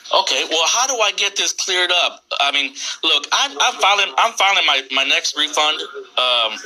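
A man's voice: a little high, lively intonation, moderate pace, pushed and bright. A man in a casual phone conversation, hesitating and repeating his words as he goes. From United States, Texas. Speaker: prank call recipient stringing along a scam caller. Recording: noisy phone call recording with clicks.

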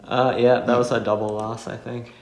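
A man's voice: mid-range voice, flat delivery, moderate pace, slight background noise. A man giving casual playthrough chat on a game he made, in a jokey, self-deprecating way. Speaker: game developer playing own game. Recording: roomy echo, faint music behind.

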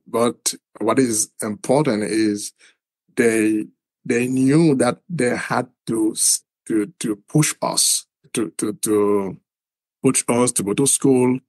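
Middle-aged Haitian man, interview guest, slow and halting, with a low, dry voice. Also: dry recording. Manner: halting, many repeated words. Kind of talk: interview answers.

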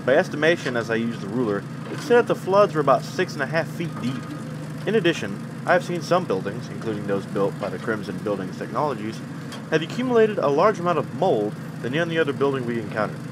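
Fast, lively male field reporter in loud noise, acted. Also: medium pitch, lightly acted. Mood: concerned. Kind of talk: live news report acting. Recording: loud outdoor street and vehicle noise.

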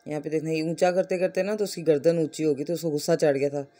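Pakistani woman narrating, flat even pitch. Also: quick pace. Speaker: family vlogger narrating her day. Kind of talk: casual vlog voice-over.

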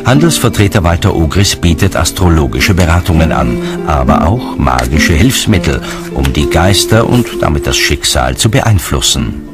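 A man narrating a documentary from a script in a measured, articulate way. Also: a bass voice, very animated, loud music behind the voice.

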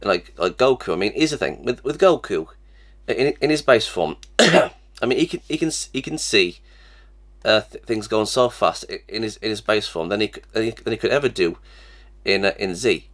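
A man's voice: fairly low pitch, expressive, moderate pace, fairly bright tone. A young man with a cold, sniffly, hosting a casual reaction vlog and stammering through many restarts from a small room at his webcam. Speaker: reaction channel host. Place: small cluttered room indoors.

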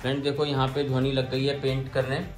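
A man's voice: mid-range voice, completely flat delivery, a little fast, soft background music. A man, chatting casually to viewers and children in a teasing way, with lots of questions. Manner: teasing, asks many questions. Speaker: father filming a family vlog. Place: indoors at home and in mall shops.

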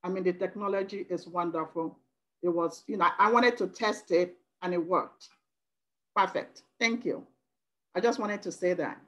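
A woman's voice: fairly low pitch, steady tone, normal speed, fairly bright tone. Woman from Nigeria, Igbo native speaker, effusive and emphatic, laptop video call, committee member. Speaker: working group member. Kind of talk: video call meeting comment.

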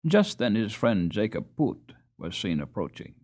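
Expressive man narrating a storybook. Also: fairly low pitch, a little slow. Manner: expressive, dramatised reading. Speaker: audiobook narrator.

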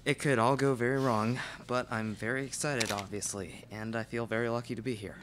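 A man's voice: mid-range voice, steady tone, fairly quick, background noise, natural voice. Nervous young movie actor played by a man. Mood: nervous, polite. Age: young adult. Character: nervous, modest, gushing young male film actor. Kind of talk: live radio theatre, read interview parody. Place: college classroom stage, indoors. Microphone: stand microphone.

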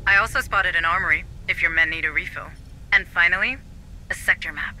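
Military techie advisor in a game, higher sharp voice, matter-of-fact, serious. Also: male voice, fairly quick, low-quality recording. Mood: focused, helpful. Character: serious, businesslike male tech officer advising marines. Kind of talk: video game voice acting. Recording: faint background noise.

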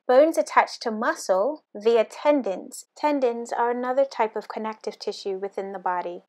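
A woman instructor narrating a scripted anatomy lesson over slides, in a clear, steady, explanatory way. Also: on the high side. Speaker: fitness course instructor. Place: voice-over, not the pictured slides.

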